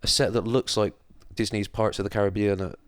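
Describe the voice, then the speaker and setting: A man's voice: lowish voice, steady tone, fast talker, smooth, no echo. A man co-hosting a film podcast, chatting casually with wry, sarcastic humour and some swearing. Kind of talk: casual chat, recapping a film plot.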